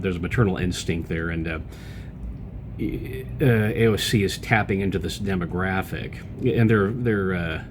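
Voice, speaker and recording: A man with a deep, low-pitched voice talks straight into a close camera mic as a podcast host. His commentary rambles in a casual way, with lots of "uh"s and false starts. A faint low rumble sits underneath. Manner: rambling, loose delivery with many fillers and restarts. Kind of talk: casual political commentary monologue.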